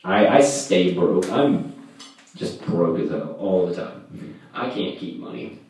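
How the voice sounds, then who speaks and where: Slow, echoey young man doing amateur stand-up. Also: sits low, expressive, darkish tone. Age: young adult. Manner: halting, rambling, self-deprecating; profane, vulgar. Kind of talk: casual stand-up routine. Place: indoors, small home room. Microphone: distant camera, handheld microphone in view. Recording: echoey room, far from camera.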